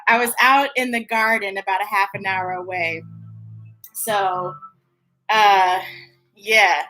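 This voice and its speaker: A woman's voice: mid-range voice, steady tone, takes it slowly, bright, forceful tone, soft background music, poor audio. An American woman chatting casually and playfully to young viewers as a children's story time host, stretching words for emphasis.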